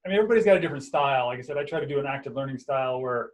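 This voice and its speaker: A man from Montana, an engineering professor, answering questions in a relaxed, hesitant way on a video call. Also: medium pitch, racing. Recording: dull lo-fi video call audio.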